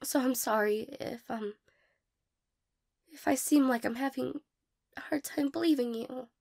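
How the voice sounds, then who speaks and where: A young woman playing a shy, insecure, tearful girl in an intimate romantic audio roleplay, hesitant, stammering and apologetic, with a breathy, creaky voice. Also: sits high, unhurried, darkish tone, heavily acted. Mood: hurt, downcast.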